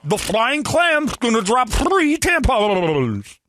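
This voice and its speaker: A man's voice: extremely high pitch, big pitch swings, slow pace, a bit bright, voice pushed up, exaggerated voice. A man putting on a squealing, goofy mascot voice. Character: goofy high-voiced cartoonish sports mascot. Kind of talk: comic bit on morning radio.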